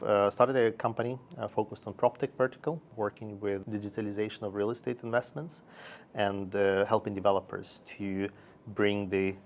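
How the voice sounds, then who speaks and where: A man from Russia and Sweden, a founder talking about his career in halting, measured phrases, as in an interview. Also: lowish voice, creaky, a little hiss or hum. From born in Russia, raised in Sweden. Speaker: company founder and investor.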